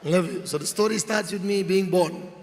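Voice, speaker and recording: A man's voice: high voice, moderate pace, darkish tone, a little hiss or hum. A man with a Malaysian accent gives a motivational storytelling speech, emphatic and repeating phrases as he checks in with his listeners. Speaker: motivational speaker. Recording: long hall reverb.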